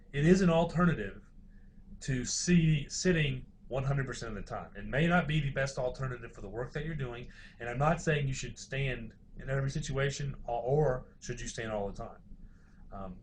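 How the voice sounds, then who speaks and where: A male university lecturer talks through slides in an informal, explanatory style. His voice sits at a medium pitch, and he often hedges and hesitates as he explains. Faint room noise runs behind him.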